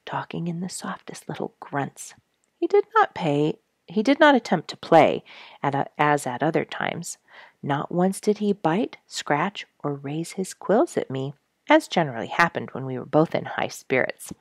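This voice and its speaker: A woman reading a story aloud in an expressive, earnest and gentle way, often dropping to a soft hush. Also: low-pitched, creaky, no room sound, clean studio sound. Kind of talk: expressive audiobook narration for families.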